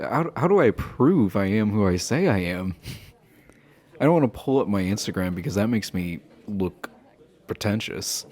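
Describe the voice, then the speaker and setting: A man playing a low-voiced, slow, flirty himbo jock. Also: a little mellow.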